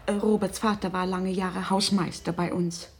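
An actress playing a worried, wealthy middle-aged mother, husky and breathy, formal and reserved, in a naturalistic radio drama. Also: medium pitch, flat delivery, moderate pace. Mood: worried, guarded.

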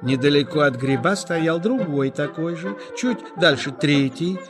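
Unhurried male storyteller over music. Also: a little high. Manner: gentle, measured storytelling. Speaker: narrator. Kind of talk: scripted storybook narration. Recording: music under the narration.

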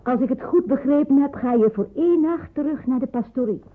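An actress in a Dutch radio drama playing a cautious married woman who shelters fugitives, measured and matter-of-fact, with a husky voice. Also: high-pitched, natural voice. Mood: grave, matter-of-fact. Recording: muffled, phone-like radio play recording.

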